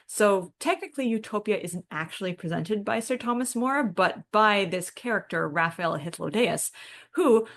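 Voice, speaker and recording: A woman's voice: fairly low pitch, vocal fry. A woman co-hosting a podcast, explaining things in a casual, informal, discussion style with plenty of colloquial filler words. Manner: informal, peppered with "like" and "you know". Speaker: podcast co-host.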